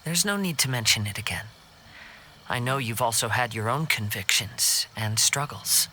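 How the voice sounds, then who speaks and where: A man playing a calm, principled young wandering samurai in a video game cutscene, speaking formally and gently. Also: medium pitch, normal speed, a little hiss or hum. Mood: calm, earnest.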